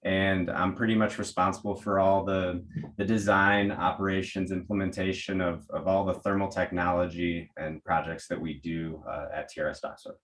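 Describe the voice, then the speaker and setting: An engineer from the United States introduces himself on a webinar with a very low voice. He sounds relaxed and friendly. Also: normal speed, few pauses. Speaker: senior engineer.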